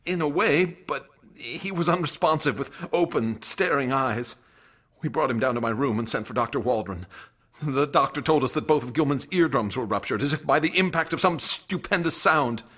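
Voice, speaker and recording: A man's voice: mid-range voice, fairly bright tone, slightly rough. An actor playing a haunted young man recounting a friend's horrific death, in an intense radio drama style. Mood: sorrowful. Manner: intense, halting when distressed. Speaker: narrator and lead actor. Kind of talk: dramatic radio play narration. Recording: dramatic music under the voice.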